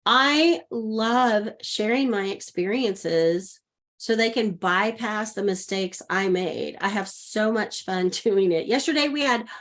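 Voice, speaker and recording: Middle-aged woman, coach, warm-pitched mid voice, upbeat, story-driven. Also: takes it slowly. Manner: earnest and upbeat, laughing often. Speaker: leadership coach, guest. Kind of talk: personal storytelling in conversation.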